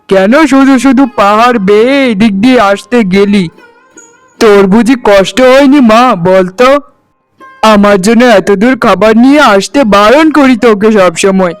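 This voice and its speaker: A man voicing a tired, gentle middle-aged farmer father in a cartoon, weary and fatherly. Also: sits high, clean tone, no echo, natural voice, rough recording. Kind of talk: cartoon voice acting.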